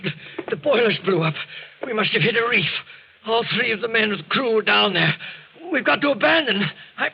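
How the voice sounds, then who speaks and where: A man's voice: high-pitched, lively intonation, fairly quick, gravelly, a little hiss or hum. A man playing a badly hurt, panicked, gasping ship's crewman in a 1940s radio drama. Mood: panicked, pained. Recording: muffled vintage 1940s radio recording.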